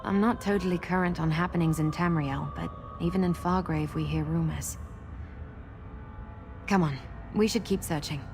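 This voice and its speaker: An actress playing an earnest young woman in a video game who seeks her lost memories, speaking in a measured, sincere way. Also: on the low side, over music, close to an everyday voice. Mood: thoughtful. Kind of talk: video game character acting.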